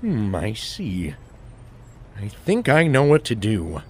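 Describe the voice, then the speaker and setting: A man's voice: lowish voice, wildly swooping pitch, slow, husky, exaggerated voice. A man playing a pompous, melodramatic, dim but big-hearted superhero in a cartoon audio drama. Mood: grand, confident.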